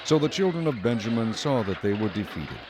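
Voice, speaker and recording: A man giving solemn, measured scripted narration for a dramatized Bible recording. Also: on the low side, big pitch swings, noisy background.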